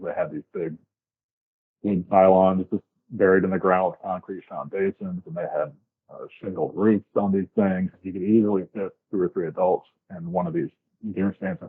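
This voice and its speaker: An American man from the southern US with a deep, rough-edged voice tells his experiences in a casual, descriptive way. He sounds like an interview guest on a narrow, phone-like connection. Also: fairly even intonation, a little fast. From southern United States, grew up in Florida. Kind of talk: casual first-hand storytelling. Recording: tinny, band-limited remote call.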